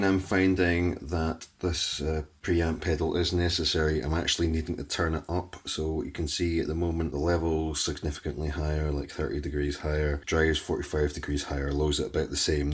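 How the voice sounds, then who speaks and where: A man demonstrates music gear in a tutorial style. His voice is extremely deep with a husky edge. He explains things step by step in a plain, matter-of-fact way.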